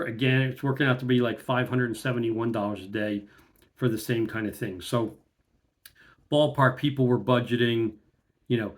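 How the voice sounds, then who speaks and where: A middle-aged man vlogging casually from a room at home, talking to a close webcam and working through figures in a plain, methodical way with fillers. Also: mid-range voice. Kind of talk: casual talk to camera.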